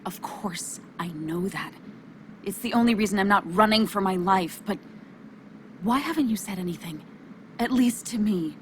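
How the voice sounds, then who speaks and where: A woman's voice: medium pitch, expressive, moderate pace, slight background noise, lightly acted. Earnest, emotional young-sounding journalist played by a woman. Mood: hurt, firm. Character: earnest, principled female journalist, anxious but loyal friend. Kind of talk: naturalistic video game drama acting.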